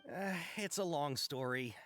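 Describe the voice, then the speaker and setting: Animated, apologetic merchant. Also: male voice, on the high side, a little slow, background noise. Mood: apologetic, troubled. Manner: hesitant, apologetic. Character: apologetic male merchant with bad news. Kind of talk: video game voice acting.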